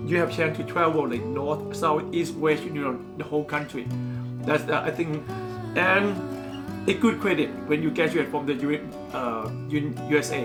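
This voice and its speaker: A speaker from Thailand with as a gives interview answers in hesitant English, pausing to find words and leaning on "you know". The voice sits at an ordinary middle pitch. A music bed plays quietly underneath. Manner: halting, searching for words, frequent "you know".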